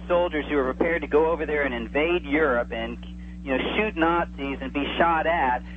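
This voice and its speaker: Man in his forties on a tinny phone line. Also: a little high. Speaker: interview guest, radio drama producer. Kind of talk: casual chat, reminiscing. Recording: tinny phone line with hum and faint noise.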